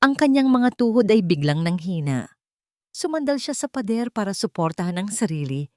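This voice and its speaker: A woman's voice: mid-range voice, sing-song, moderate pace, warm tone, no room sound, studio quality. A woman with a Filipino accent giving a dramatic scripted narration of a romance story.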